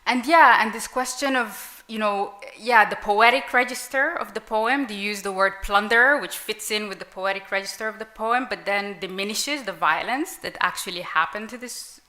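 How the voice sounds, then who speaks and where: Woman, arabic native, bright voice, kinda hesitant, lots of likes and ums, panel talk. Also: medium pitch, normal speed, hardly any pauses. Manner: reflective, hesitant, many fillers and restarts. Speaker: panelist author. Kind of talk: live panel discussion. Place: literary event with audience.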